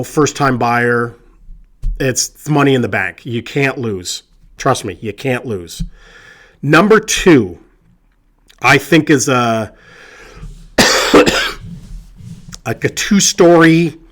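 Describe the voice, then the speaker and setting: A man, a real estate agent giving casual, reassuring advice to camera, hoarse from a cold and chatty with lots of fillers. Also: medium pitch, a bit bright. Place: indoors with a colleague present.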